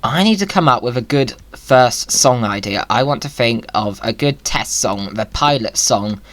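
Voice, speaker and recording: A man's voice: mid-range voice, animated, moderate pace, fairly bright tone. A man giving casual gameplay commentary as a video creator, rambling in a whimsical, self-amused way.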